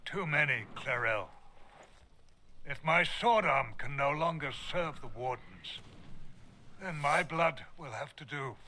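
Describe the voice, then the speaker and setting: Game part: grave old soldier, resigned, slow-paced, slightly high voice. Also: male voice, lively intonation, pushed and bright, full character voice. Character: weary old male warrior offering his life. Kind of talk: video game dramatic acting.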